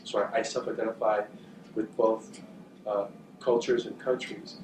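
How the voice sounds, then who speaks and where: Man, halting talk, frequent fillers, distant mic, echoey room. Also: on the low side, level tone. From northern New Jersey, USA. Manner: thoughtful, halting, with frequent fillers and repeated words. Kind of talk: talk to journalism students. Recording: echoey, distant, with background noise.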